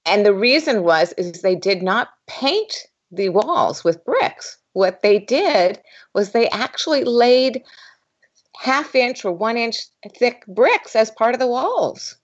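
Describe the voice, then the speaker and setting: A woman's voice: a little high, very animated, slow pace. A woman film director explaining how a production was shot, with detailed, explanatory storytelling. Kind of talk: behind-the-scenes recollection. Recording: dull lo-fi sound.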